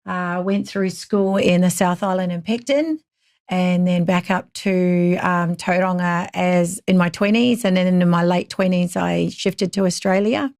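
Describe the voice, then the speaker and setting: A woman in her fifties with a New Zealand accent, chatting as a podcast guest and telling stories with lively energy. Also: fairly low pitch, measured pace, vocal fry, no echo, clean studio sound. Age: 50s. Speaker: interview guest. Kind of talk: casual chat.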